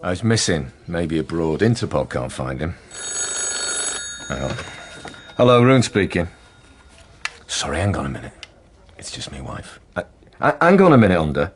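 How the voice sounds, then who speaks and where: A man's voice: low-pitched, very animated, normal speed, pauses often, a little hiss or hum. A man playing a harried, rambling police detective in a radio drama, droll and easily flustered. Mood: distracted, worried.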